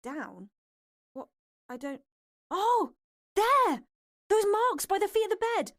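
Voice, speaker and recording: An actress playing an earnest, desperate young woman in an audio drama, hesitant and excitable. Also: very high-pitched, takes it slowly, no room sound, clean studio sound, exaggerated voice. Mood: hushed, then excited.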